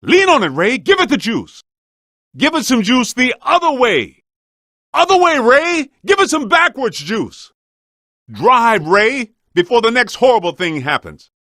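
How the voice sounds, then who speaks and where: Exasperated practical ghost hunter, video game, bright forceful voice, very dry studio sound, slangy wisecracks. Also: male voice, very high-pitched, big pitch swings, unhurried, no echo, heavily acted. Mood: urgent. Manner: casual, slangy, punchy delivery.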